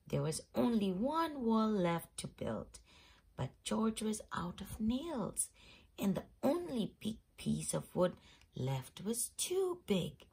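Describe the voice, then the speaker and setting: A woman host reading a children's picture book aloud in an expressive storytelling manner. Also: fairly low pitch, vocal fry, husky. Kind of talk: scripted storybook read-aloud.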